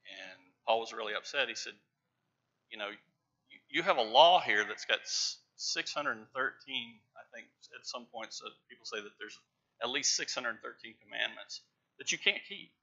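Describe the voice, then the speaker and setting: A middle-aged man preaching from a church pulpit, stressing key words with emphatic, rising loudness. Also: mid-range voice, moderate pace, breathy edge. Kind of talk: sermon. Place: large church sanctuary with pews. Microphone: lapel mic, far camera. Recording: dull church livestream audio.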